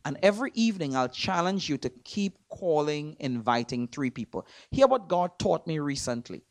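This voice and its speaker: A man from Trinidad with a Trinidadian accent, preaching in a church. He stresses words hard, repeats himself and swings between loud and soft. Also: a little high, very animated, moderate pace, no echo. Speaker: guest preacher. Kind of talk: sermon. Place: church sanctuary.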